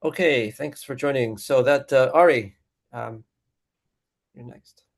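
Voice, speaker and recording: A man with a gravelly voice chairs an online meeting from a video call. He speaks in a relaxed, halting way, with many ums and pauses. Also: medium pitch.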